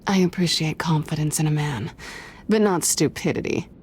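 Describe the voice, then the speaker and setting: A woman's voice: low-pitched, normal speed, a little hiss or hum, natural voice. An actress playing a tough, streetwise female thief in a video game, wry, cool and guarded. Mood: sardonic, wary.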